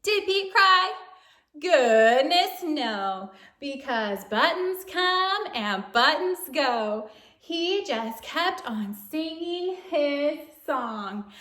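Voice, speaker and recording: High, slow-paced woman reading to children. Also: echoey room. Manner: animated, playful, exaggerated exclamations and questions to young listeners. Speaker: storyteller reading a picture book to children. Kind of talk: children's read-aloud with sing-song refrains.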